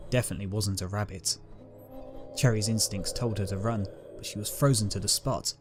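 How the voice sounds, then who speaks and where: A man narrating a dramatic scripted story as its reader. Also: lowish voice.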